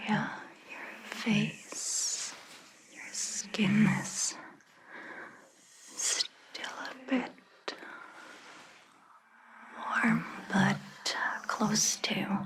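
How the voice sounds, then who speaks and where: A woman speaks in a hushed whisper with a noticeably creaky edge. She takes her time, leaving very long, slow gaps between short phrases. She plays a caring vampire doctor in an ASMR roleplay, gently soothing and reassuring the listener. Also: mid-range voice, poor audio. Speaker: ASMR performer.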